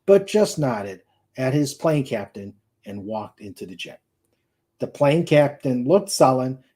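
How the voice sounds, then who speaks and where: A man's voice: medium pitch, warm tone. A man narrating a novel aloud as a dramatic reading, careful, with occasional stumbles over words. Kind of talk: dramatic audiobook-style narration.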